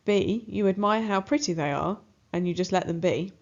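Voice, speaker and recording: A woman narrates a children's story in an expressive read-aloud style. Her pitch is average for a woman. The recording is a little muffled. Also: normal speed. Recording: slightly dull, muffled recording.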